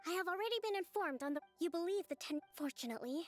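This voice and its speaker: A woman voicing a composed young female leader in a video game dub, speaking calmly and diplomatically. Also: very high voice, soft background music. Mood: gentle. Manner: calm, measured and diplomatic. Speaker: divine leader of an island resistance.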